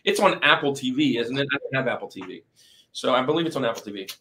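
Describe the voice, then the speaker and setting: A man's voice: medium pitch, animated, fast talker, pushed and bright, slight background noise. A middle-aged man hosting a podcast in casual, enthusiastic, informal chat. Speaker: podcast host.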